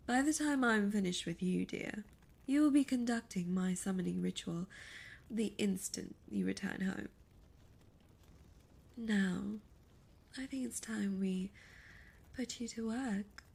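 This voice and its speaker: A woman voicing a seductive, imperious demon succubus in an ASMR roleplay, breathy and often whispering, with formal, teasing, menacing diction. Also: medium pitch, a little mellow, exaggerated voice. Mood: seductive, domineering.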